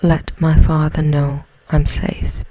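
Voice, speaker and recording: A woman voicing a gruff, lonely male beast in a slow, breathy storybook whisper. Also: deep voice, level tone, mellow, no room sound, rough recording. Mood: earnest. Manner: slow, deliberate.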